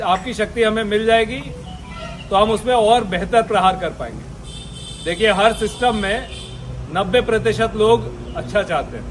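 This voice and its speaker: A man speaking as a senior police officer, addressing a meeting in an exhortatory way with emphatic repetition. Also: sits high. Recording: live speech with background noise.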